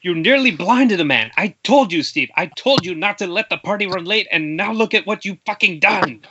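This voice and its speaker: A man's voice: high-pitched, lively intonation, moderate pace, pushed and bright. A man playing a fed-up, foul-mouthed film producer in an amateur table read over an internet call. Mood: exasperated, angry. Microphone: internet video call. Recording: dull, noisy internet call, clicks.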